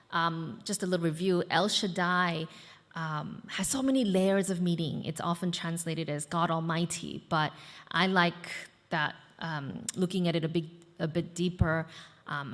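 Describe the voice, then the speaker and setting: A woman's voice: deep voice, fairly bright tone, long reverb tail. A woman in her thirties, from the United States, preaching a sermon as pastor in a conversational way, with fillers and asides to the congregation. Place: church hall.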